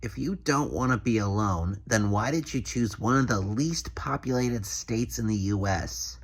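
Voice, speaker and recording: A man giving comedic reaction commentary with sarcastic, deadpan quips. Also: on the low side, measured pace, husky, soft background music.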